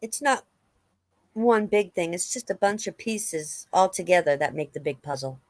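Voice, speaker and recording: A woman's voice: on the low side, animated, moderate pace, a little mellow, no room sound. A woman reading the part of a casual, agreeable investigator in a police interview. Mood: explaining.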